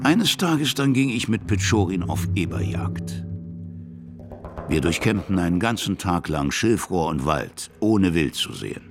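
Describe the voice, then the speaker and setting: An old army officer in a radio drama, spinning his tale in a low voice. His delivery swings animatedly, plain-spoken and gruffly kind, with faint music behind him. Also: big pitch swings, a little slow, full character voice. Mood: reflective narrating. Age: older. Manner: colloquial, vivid, dramatic storytelling. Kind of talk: radio play storytelling.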